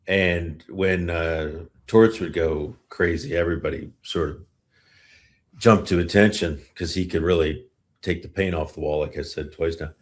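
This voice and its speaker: A man with a low voice hosts a casual sports podcast. He talks informally, in a halting way, restarting thoughts as he goes. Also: steady tone.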